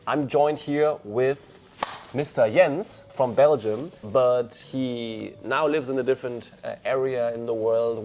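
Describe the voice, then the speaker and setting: A man's voice: medium pitch, darkish tone. A man hosting a casual podcast interview, talking in a friendly way with frequent fillers. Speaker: podcast host. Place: Leipzig, Germany.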